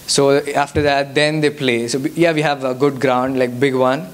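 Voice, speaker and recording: A man's voice: medium pitch, fairly even intonation, normal speed. Young Indian man, Telugu-accented English. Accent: Indian, Telugu-speaking. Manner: hesitant, many fillers and restarts. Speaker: guest speaker. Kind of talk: answering audience questions. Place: church youth service hall.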